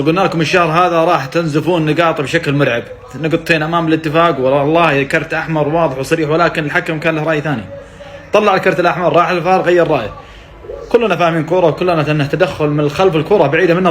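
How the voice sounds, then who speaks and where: A man rants sarcastically about football. He talks at a breakneck pace in a slightly high voice. Each jab comes dry and mocking. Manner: sarcastic, rapid-fire ranting. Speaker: football fan commentator. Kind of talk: mocking match reaction.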